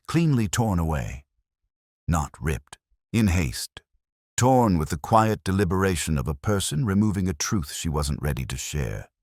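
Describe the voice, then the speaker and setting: A man voicing a brooding, introspective police detective who recounts a case in measured, grave, literary first-person narration for a mystery audio drama. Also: low-pitched, no echo, clean studio sound, full character voice.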